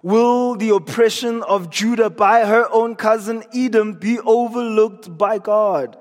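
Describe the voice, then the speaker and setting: A man's voice: high-pitched, a little slow. A man preaching an earnest evening sermon in a church, his voice scratchy and strained. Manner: earnest, repeats words when he restarts. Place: church hall. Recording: long reverb of a church hall.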